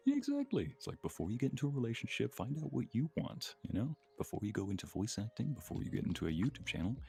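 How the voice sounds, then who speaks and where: A man's voice: mid-range voice, huge pitch swings, fast talker, creaky, mellow, husky. A man chatting casually as a guest on an ASMR livestream, playfully acting out little imagined quotes.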